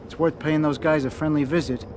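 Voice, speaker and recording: A man's voice: on the high side, fairly even intonation, fairly quick, noisy background, close to an everyday voice. A man playing a griping, streetwise mafia sidekick in a video game, casual and wisecracking. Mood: casual, advising. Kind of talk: video game cutscene acting.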